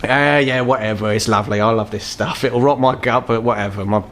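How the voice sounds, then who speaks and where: A man's voice: mid-range voice, fairly even intonation, brisk, fairly bright tone, lightly acted. A man voicing an embittered, crippled, ageing troll fixer in a tabletop game, with a British accent and a blunt, world-weary, sweary manner. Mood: gruff, resigned.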